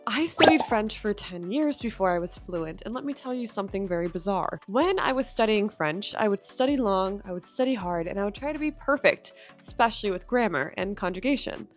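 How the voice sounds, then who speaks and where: A young adult woman who teaches English, giving encouraging, direct language-learning advice in a scripted lesson. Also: mid-range voice.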